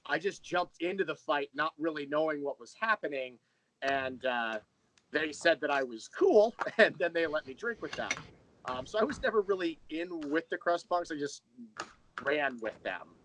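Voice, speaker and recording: Rambling, higher-pitched American man. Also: lively intonation, moderate pace, fairly bright tone, a little hiss or hum, rough recording. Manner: rambling, candid, self-deprecating. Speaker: interview guest, audio drama creator. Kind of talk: casual interview chat.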